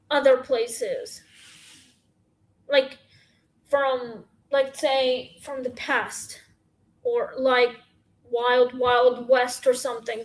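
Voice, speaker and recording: A woman with a scratchy voice hosting a casual question-and-answer chat, halting and rambling with many fillers, talking into her phone. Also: high-pitched. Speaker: podcast host.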